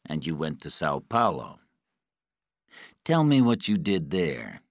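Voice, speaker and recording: A menacing male interrogator, performed by a male narrator, speaking very low and slowly with calm, measured threat. The audiobook dialogue is cold and dry. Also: no echo, full character voice. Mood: calm probing.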